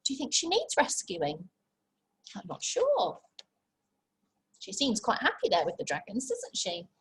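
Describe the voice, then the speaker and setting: A woman's voice: fairly high pitch, huge pitch swings, unhurried, fairly bright tone. A woman teacher reading a picture book aloud to young children, expressively, with simple chatty asides and questions. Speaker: infant school teacher.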